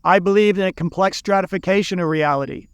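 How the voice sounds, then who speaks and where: Middle-aged American man, higher pitch, smooth clear voice, rambling unscripted lecture. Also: quick pace, no room sound, studio quality. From United States. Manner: loose, rambling, with restarts and asides. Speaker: college teacher and video lecturer. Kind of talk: unscripted talk to camera.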